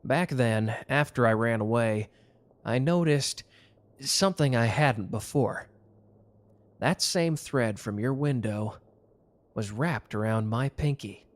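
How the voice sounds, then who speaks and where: A tender young mafia protector, voiced by a man in an intimate ASMR roleplay. He talks softly and close, reassuring and earnest, with a voice of middling pitch. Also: slow pace, lightly acted.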